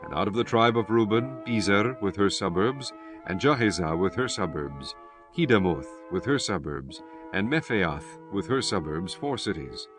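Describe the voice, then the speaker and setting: A man narrating scripture for an audiobook in a measured, solemn way. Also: on the low side, expressive, over music.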